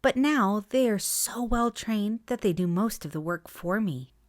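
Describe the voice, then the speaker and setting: A man voicing a gentle, proud mother cottontail rabbit in a storybook, with polite, softly proud speech. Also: sits high, animated, unhurried, no room sound, clean studio sound, pitched above the performer's own voice.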